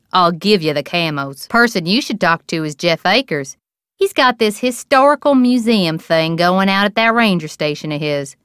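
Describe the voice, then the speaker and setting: A small-town bait shop keeper in a video game. She has a rural American accent and a smooth, clear voice, recorded dry. Her delivery is folksy and gossipy, full of wry, opinionated drawl. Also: mid-range voice, fairly bright tone, no echo. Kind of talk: video game character dialogue.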